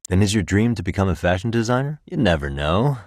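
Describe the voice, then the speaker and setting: Laid back american detective from a video game, deep voice, wry and casual, really dry studio sound. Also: male voice, big pitch swings, quick pace, darkish tone, no echo. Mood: friendly, wry. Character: easygoing, wry adult male private detective. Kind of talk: video game voice acting, conversational dialogue.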